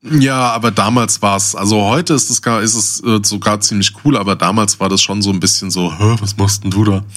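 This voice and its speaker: A man's voice: on the low side, fairly even intonation, brisk, a bit bright. A man chatting casually as a podcast co-host, telling stories in a slangy, joking way. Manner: slangy, jokey. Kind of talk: casual chat with joking anecdotes.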